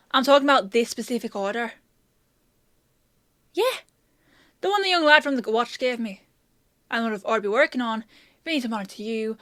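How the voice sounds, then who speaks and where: A woman's voice: on the high side, fairly bright tone, no echo, studio quality. A woman playing a bossy, teasing female apothecary in a fantasy audio roleplay, with a chatty, sardonic, playfully scolding manner. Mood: mock scolding.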